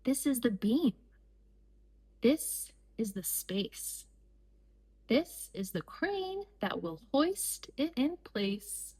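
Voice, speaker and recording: A woman with a fairly high voice reads a children's picture book aloud. Her pace is extremely slow, with short, deliberate phrases and pauses between them, in the style of storytime for young listeners. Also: very animated, a little mellow, slightly rough.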